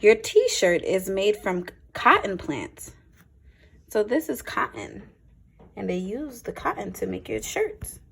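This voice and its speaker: Female primary teacher for a picture book read-aloud: slow, deliberate, a little husky, explaining simply to little kids. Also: medium pitch. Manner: simple explanatory asides to young listeners; expressive, deliberate phrasing for children. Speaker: teacher reading aloud to young children.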